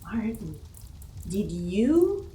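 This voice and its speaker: An actress playing a frightened, anguished wife in a melodramatic 1940s radio horror drama. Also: female voice, medium pitch, very animated, very slow, warm tone, roomy sound, slight background noise. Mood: anguished, dreading.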